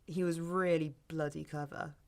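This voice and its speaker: A woman's voice: sits low, fairly even intonation, moderate pace. A woman with a British accent acting a wary, bereaved first date in an audio drama, dry, sarcastic and probing. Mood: wistful, awkward. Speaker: actor in a sci-fi audio drama. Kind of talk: naturalistic audio drama dialogue.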